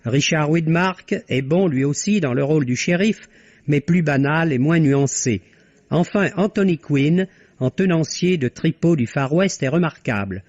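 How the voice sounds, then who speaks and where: A man's voice: a little high, animated, measured pace, a little mellow, no room sound. Man reviewing a film on old radio. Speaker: film critic. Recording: dull lo-fi archive radio recording.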